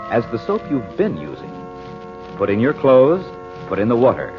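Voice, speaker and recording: Lively old-time radio announcer man. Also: fairly low pitch. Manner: lively, persuasive ad delivery. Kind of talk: 1930s radio announcing and narration. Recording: muffled old radio transcription.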